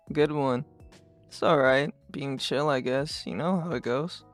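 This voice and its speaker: A woman voicing a laid-back, sarcastic teenage boy in a fan dub, talking in deadpan slang. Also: extremely low pitch, slow, soft background music. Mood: cool, smug.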